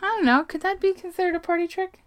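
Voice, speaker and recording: A woman's voice: very high-pitched, fast, no room sound, clean studio sound. A young adult woman hosting a casual podcast chat, laughing often and swearing casually, playfully blunt. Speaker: podcast host. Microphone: podcast microphone.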